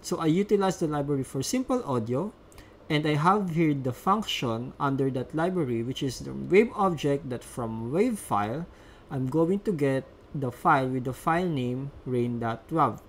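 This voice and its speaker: A man's voice: mid-range voice, expressive, normal speed, slight background noise. A man presenting a step-by-step instructional screencast as a tutorial narrator.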